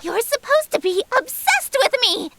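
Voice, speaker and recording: Haughty, very high-voiced chaos goddess. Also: female voice, very animated, normal speed, bright, forceful tone, no echo, exaggerated voice. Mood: indignant, flustered. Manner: grandiose, imperious, melodramatic. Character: haughty theatrical eldritch goddess of chaos, female, easily flustered. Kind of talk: scripted visual novel game acting. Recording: music behind the voice.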